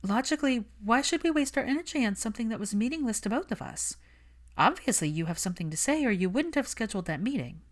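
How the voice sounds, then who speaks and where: A woman's voice: on the high side, animated, quick pace, pitched above the performer's own voice. A woman voicing a guarded, defensive young woman who reasons carefully and uneasily in an audiobook. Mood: guarded, insistent. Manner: careful, argumentative, wordy. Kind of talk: audiobook character dialogue.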